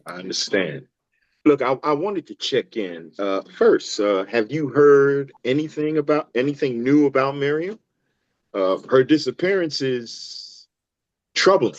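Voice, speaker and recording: A man's voice: medium pitch, expressive, unhurried, dry recording, natural voice. Actor as guarded male doctor, very varied intonation, dry and slightly muffled sound. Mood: polite, probing. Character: evasive male doctor, defensive and measured. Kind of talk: table read of a screenplay.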